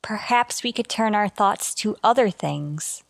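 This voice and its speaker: Actress as female starship communicator: mid-pitched, careful, earnest, sci-fi radio drama. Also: expressive, unhurried, creaky, no echo. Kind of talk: golden age sci-fi radio drama acting.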